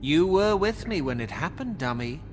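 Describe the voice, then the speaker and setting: Casting: defiant game wisecracker, highish voice, bouncy inflection, sarcastic, reverberant with music behind. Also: male voice, very animated, moderate pace. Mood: flippant. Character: cheeky, sarcastic, defiant male suspect under questioning. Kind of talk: video game dramatic acting.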